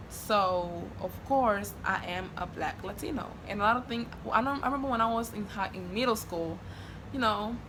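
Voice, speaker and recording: A woman's voice: medium pitch, background noise. A young woman from Honduras with a Spanish accent, chatting casually to her camera with lots of fillers and restarts. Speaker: vlogger.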